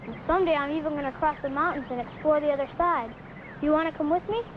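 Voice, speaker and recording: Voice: high voice, fast, close to an everyday voice. A young performer playing an eager, boastful frontier boy with an earnest manner, in 1950s radio adventure style. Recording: muffled lo-fi old radio, noisy.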